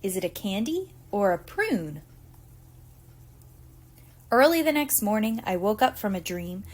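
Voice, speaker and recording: A woman reading a picture book aloud to young children in an expressive, theatrical storytelling manner. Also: mid-range voice. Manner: deliberate, theatrical storytelling with acted emphasis. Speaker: preschool teacher reading aloud.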